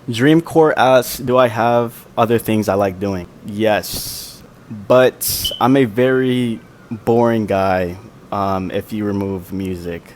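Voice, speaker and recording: A young man with a fairly low, soft voice talks through fan questions in a relaxed, rambling way, full of fillers. He sounds like an independent musician chatting casually on his own channel. A faint hiss of background noise sits under the recording. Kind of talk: casual Q&A vlog.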